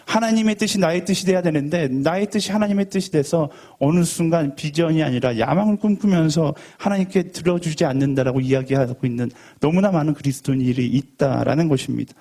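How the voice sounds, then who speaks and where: A man's voice: a little high, a little fast, few pauses, dark tone. A young man preaching a sermon to a college group in a conversational way, with rhetorical questions and joking asides. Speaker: youth pastor.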